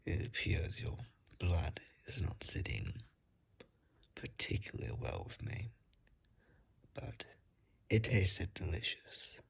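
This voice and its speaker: Someone putting on a slow, whispering, menacing vampire. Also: sits low, wildly swooping pitch, full character voice. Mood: teasing, pleased. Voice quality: breathy, husky whisper with creaky edges. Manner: slow, coaxing, politely threatening. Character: menacing, hungry, courteous vampire, smugly polite. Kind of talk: whispered ASMR roleplay.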